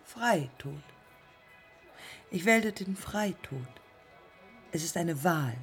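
An actress playing a proud, embittered dead woman aviator in a poetic radio play, speaking in terse, measured fragments. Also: fairly low pitch, animated, soft background music, heavily acted. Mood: resolute, reflective. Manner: measured, terse, fragmented phrasing with deliberate pauses.

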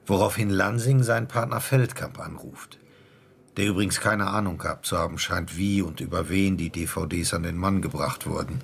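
A man playing a seasoned, matter-of-fact police inspector in a radio crime drama, with a husky, gravelly voice. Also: sits low, normal speed, slight background noise. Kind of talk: naturalistic German radio crime drama dialogue.

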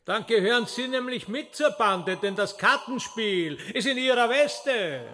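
An actor playing a mocking, showy magician in a radio drama, with theatrical, teasing, drawn-out delivery. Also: male voice, very high voice, animated, crowd noise. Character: mocking, showy male stage magician and trickster.

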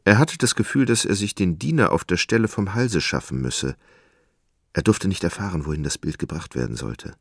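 Very deep, gravelly male narrator, close and dry. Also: lively intonation, normal speed, no echo. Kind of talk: literary radio drama narration.

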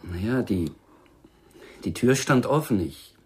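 A man's voice: fairly low pitch, expressive, takes it slowly, darkish tone, breathy edge, heavily acted. An actor playing a guarded, reluctant young man who answers hesitantly and listlessly in a radio crime drama. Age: young adult. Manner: hesitant, defensive.

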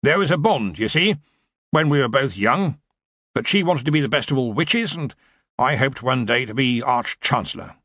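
A man's voice: lively intonation, moderate pace, bright, forceful tone, no echo, clean studio sound. A man voicing an old, imperious university wizard in an audiobook, with a weighty, commanding manner. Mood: sad, wistful. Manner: booming, imperious. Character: old blustering male wizard, head of a university.

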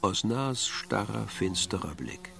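An actor as the detached narrator of a German spy radio play, delivering terse, measured scripted storytelling. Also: male voice, on the low side, expressive, creaky, full character voice.

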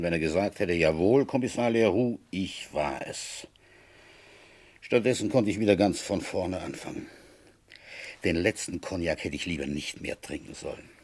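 Husky, deep-voiced police commissioner. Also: male voice. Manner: insistent in questioning, musing when narrating. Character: feverish, suspicious male village police commissioner in France. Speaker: detective and first-person narrator. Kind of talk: radio play dialogue and confiding narration.

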